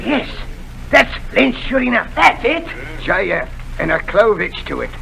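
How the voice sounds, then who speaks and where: An actor playing a rough, rowdy male pirate crewman in a 1930s radio drama, blustering in coarse sailor's slang. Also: very high-pitched, very animated, measured pace, pushed and bright, full character voice, poor audio.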